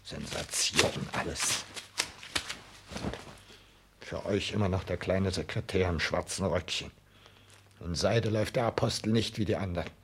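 A man's voice: deep voice, a little slow, long pauses, background noise. A man playing a bitter, zealous petty secretary in a 1960s German radio play, declaiming with scorn. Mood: scornful.

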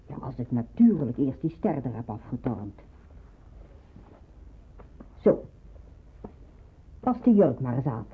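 A woman's voice: rumbling low, very animated, takes it slowly, long pauses, husky, narrow, tinny audio, full character voice. An actress playing a motherly, careful resistance helper, caring and matter-of-fact, in an old radio drama recording. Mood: practical, caring.

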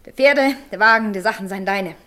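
An actress playing a hard, proud and bitter carter's wife with a Silesian accent, blunt and dramatic, in a radio play. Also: female voice, mid-range voice, a little fast, pushed and bright, lightly acted. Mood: bitter, defiant. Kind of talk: naturalistic German radio play acting.

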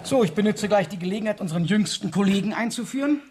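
An actor playing a courteous police superintendent in a 1970s radio crime drama, formal and brisk but awkward on delicate matters. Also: male voice, high voice, expressive, noisy background. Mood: brisk, welcoming.

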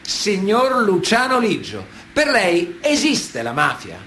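An actor playing a stern, ironic chronicler, narrating a radio drama in clipped phrases with dramatic pauses. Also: male voice, high voice, wildly swooping pitch, sharp tone, slight background noise, exaggerated voice. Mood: grave, declamatory.

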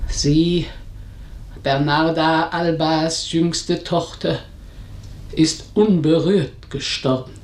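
An actress playing a tyrannical older mother, a proud village matriarch, in a German radio drama, with a severe, commanding, declamatory delivery. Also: deep voice. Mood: stern, grim. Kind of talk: German radio drama, theatrical tragic acting. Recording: roomy, echoing radio studio sound.

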